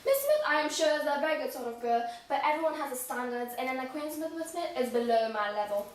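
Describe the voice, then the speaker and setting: A woman playing a snobbish young gentleman suitor, speaking in stiff, formal period phrasing, recorded from a distance in an echoey room. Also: high voice, big pitch swings, fast. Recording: echoey room, mic far off, faint noise.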